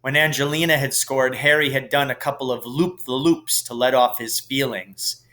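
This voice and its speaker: A man's voice: medium pitch, steady tone, moderate pace, pushed and bright. A man reading a storybook aloud as scripted narration. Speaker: audiobook reader, teacher.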